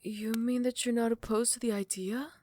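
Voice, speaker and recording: A woman voicing a proud vampire royal smitten with a rival, in soft, formal, measured speech that often slips toward a whisper, for a romantic ASMR roleplay. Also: on the high side, steady tone, close to an everyday voice. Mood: earnest, admiring. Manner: formal, archaic, measured courtly phrasing. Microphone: close microphone, dry.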